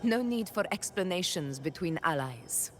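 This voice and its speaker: An actress playing a stern, proud warrior leader in a video game, formal, measured and resolute. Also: female voice, on the low side, animated, slight background noise, lightly acted. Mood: solemn, trusting. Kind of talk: dramatic video game acting.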